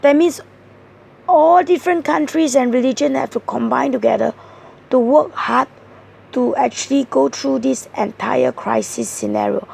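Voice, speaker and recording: A hoarse woman giving a tarot card reading, explaining each card point by point in an earnest way. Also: sits high, moderate pace, darkish tone, a little hiss or hum. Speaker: tarot reader.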